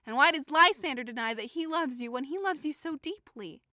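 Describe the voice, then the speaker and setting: A woman's voice: sits high, lively intonation, brisk, pushed and bright, no echo, clean studio sound. A woman playing a hurt, indignant young woman in a modern-English Shakespeare audio drama, impassioned, accusing and sarcastic. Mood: suspicious, accusing. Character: hurt, indignant young woman convinced she is being mocked.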